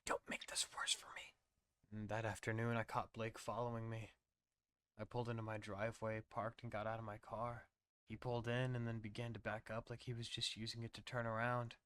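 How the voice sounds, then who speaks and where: A man's voice: fairly low pitch, level tone, fairly quick, fairly bright tone, clear, resonant tone, no echo. A man narrating a scripted first-person horror story on a close mic.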